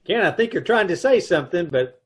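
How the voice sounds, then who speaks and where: A man's voice: high voice, lively intonation, a little fast, husky. A man playing a dry, wry husband who narrates the story, in old-time radio comedy style, from home on a video call.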